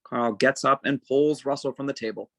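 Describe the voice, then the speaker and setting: A man reading stage directions as narrator at a table read, with measured, dramatic phrasing. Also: medium pitch, fairly even intonation, no echo.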